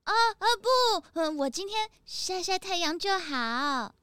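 A dainty young lady in a kids' detective radio play. Her voice is very high and bright, with slow, cutesy, hesitant delivery. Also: animated, dry recording, clean studio sound, heavily acted. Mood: sweet, demure. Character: dainty, sweet young woman, evasive suspect. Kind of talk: children's detective audio drama.

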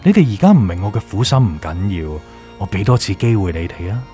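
An actor playing a gloating, manipulative villain in an audio drama, with a Hong Kong Cantonese accent and taunting, drawn-out delivery. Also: male voice, lowish voice, big pitch swings, dark tone, faint music behind. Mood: coaxing, sinister. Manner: theatrical, taunting, drawn-out emphasis, drops in English words. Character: gloating, manipulative villainous male official, mocking.

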